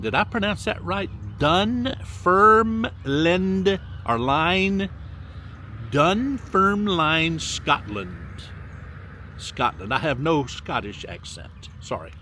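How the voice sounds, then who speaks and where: A man's voice: high voice, pushed and bright, slight background noise. An older man from Mississippi, a vlogger reading out where his viewers are watching from, stretching the place names in a sing-song way and adding chatty asides. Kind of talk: casual viewer shout-outs. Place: outdoors by a lakefront.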